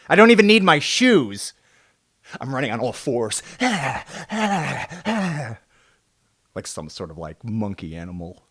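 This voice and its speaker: A man hosting his own podcast in a casual solo monologue. He rambles and mocks himself, then breaks into sudden loud, jokey bursts. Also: medium pitch, sing-song, takes it slowly, pauses often, husky, no echo. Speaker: podcast host.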